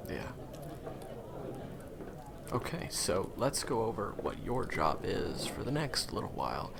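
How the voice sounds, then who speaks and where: A man playing a friendly, reassuring guide and former hunter in a fantasy game audio roleplay, talking conversationally to the listener with hesitant pauses. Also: fairly low pitch, sing-song, creaky, noisy background, full character voice. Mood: reassuring, helpful.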